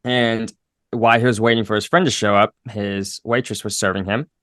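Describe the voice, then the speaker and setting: A man hosting a podcast who tells anecdotes in a relaxed, affirming way. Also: on the low side, moderate pace, no room sound, studio quality. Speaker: podcast host. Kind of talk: conversational storytelling.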